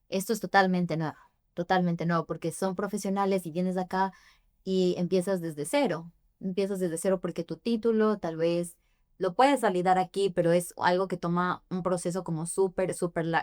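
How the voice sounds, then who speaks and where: A woman's voice: on the low side, a little mellow, no room sound, studio quality. A young adult woman from Ecuador, a guest in a podcast interview, answering thoughtfully with frequent hesitations. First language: Spanish. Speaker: immigration forms preparer, guest.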